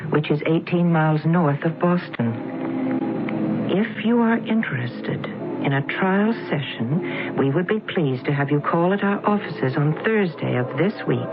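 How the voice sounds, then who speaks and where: An actor in a 1970s radio drama playing a man who reads a letter aloud and thinks it over in a deliberate, musing way. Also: fairly high pitch, lively intonation. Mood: reading. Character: man reading a mysterious letter aloud, puzzled. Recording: tinny, phone-like old radio broadcast with loud music.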